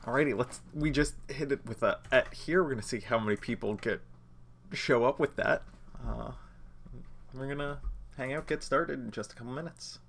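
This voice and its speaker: A man's voice: medium pitch, normal speed, gravelly, a little hiss or hum. Slightly nasal man, under the weather. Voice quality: a bit nasal. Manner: relaxed and chatty, addresses viewers directly. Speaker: judge training instructor. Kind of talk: casual livestreamed lesson.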